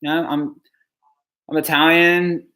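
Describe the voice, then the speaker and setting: A man's voice: fairly high pitch, flat delivery, bright, forceful tone, rough recording. Dejected, stammering man on a live stream. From New Jersey. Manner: dejected, halting, stammers with many restarts and sighs. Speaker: live streamer answering chat. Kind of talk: live reaction stream, reading chat questions.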